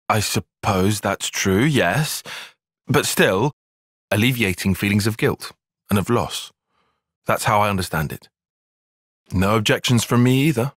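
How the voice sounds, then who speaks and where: An actor playing an earnest, bookish young soldier-tactician in a video game, speaking in measured, formal, analytical phrases. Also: male voice, on the low side, a bit bright, no echo, clean studio sound. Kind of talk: video game cutscene voice acting.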